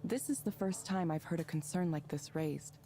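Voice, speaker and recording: Low-pitched, world-weary young techie. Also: female voice, moderate pace, mellow, a little hiss or hum. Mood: understanding. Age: young adult. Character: calm, understanding, world-weary young woman in tech. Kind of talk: naturalistic visual novel dialogue.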